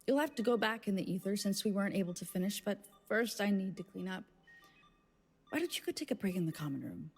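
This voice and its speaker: Condescending female clinic staffer for radio drama, mid-pitched, brisk, music underneath. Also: expressive, husky. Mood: controlled, falsely cheerful. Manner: condescending, controlling. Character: brisk, patronizing female institute staffer, falsely cheerful.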